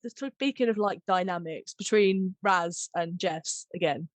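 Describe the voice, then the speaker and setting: A woman chatting casually and informally, with an animated, giggly manner, as she hosts a podcast. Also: fairly low pitch, measured pace, no room sound. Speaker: podcast host, audio drama director. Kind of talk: casual chat, behind-the-scenes discussion.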